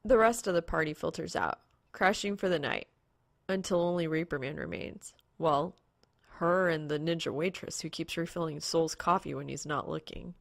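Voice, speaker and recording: A woman's voice: low voice, moderate pace, vocal fry, no echo. A woman reading fan fiction aloud as a scripted story narrator. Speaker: podfic narrator.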